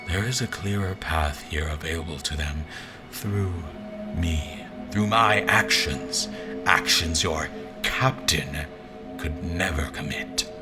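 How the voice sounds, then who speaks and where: An actor playing a grandiose, messianic zealot commander who speaks and narrates solemnly in a dramatic audio fiction. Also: male voice, low voice. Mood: grave, persuasive. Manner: solemn, deliberate, messianic speechmaking. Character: grandiose zealot commander, a self-proclaimed visionary leader.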